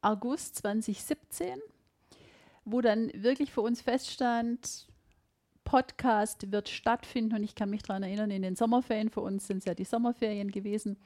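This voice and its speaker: A woman's voice: medium pitch, moderate pace. A middle-aged woman with a Swabian accent, hosting a podcast in a casual, reflective conversation. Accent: Swabian German. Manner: lively, emphatic, reflective. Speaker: podcast host.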